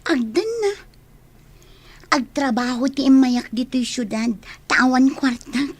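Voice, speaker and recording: A woman's voice: sits high, takes it slowly, husky, full character voice. A woman acting in a radio drama as a hesitant young woman who defers to her boss. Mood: surprised, wary.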